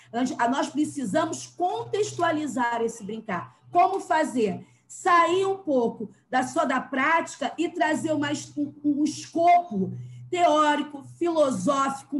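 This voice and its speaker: A woman's voice: sits high, a little hiss or hum. A Brazilian woman speaking as a guest educator in a discussion, with an emphatic manner. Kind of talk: earnest explanatory discussion.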